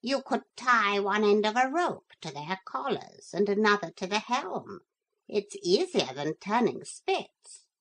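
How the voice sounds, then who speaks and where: A woman's voice: medium pitch, big pitch swings, measured pace, fairly bright tone, pitched above the performer's own voice, full character voice. A woman voicing a bossy, talkative parrot guide in a storybook reading. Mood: chatty.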